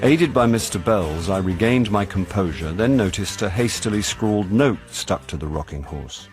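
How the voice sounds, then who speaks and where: Man playing a low-voiced, wry, hapless amateur sleuth. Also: lively intonation, normal speed, faint music behind, natural voice. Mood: wry, rueful. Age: middle-aged. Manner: dry, self-deprecating. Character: wry, hapless middle-aged actor turned amateur sleuth. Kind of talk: radio drama acting with first-person narration.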